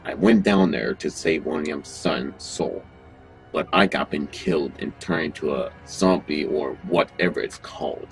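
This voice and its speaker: A man acting an evasive, sinister restaurant owner with halting delivery in an amateur horror audio drama. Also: extremely low pitch, gravelly, soft background music, exaggerated voice. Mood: evasive, guarded. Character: evasive, sinister male animatronic restaurant owner hiding murders.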